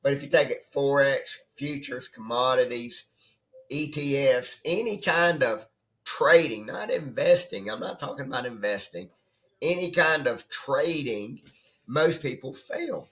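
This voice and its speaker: A hoarse man who has nearly lost his voice, talking as a trading coach in a rambling, conversational way and often checking in with "right?". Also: medium pitch, animated, unhurried, a bit bright. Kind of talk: casual off-the-cuff coaching talk. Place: at his computer desk.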